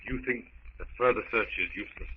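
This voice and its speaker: An actor playing an earnest gentleman in a jungle search party, in 1930s radio serial style. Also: fairly low pitch, fast talker, a little hiss or hum, natural voice. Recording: distant echoey muffled 1930s radio recording.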